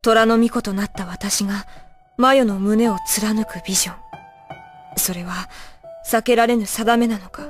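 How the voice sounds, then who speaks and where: A woman's voice: mid-range voice, fairly even intonation, moderate pace, faint music behind, lightly acted. A woman playing a serious, brooding teenage shrine maiden in an anime audio drama. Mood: somber, resolute.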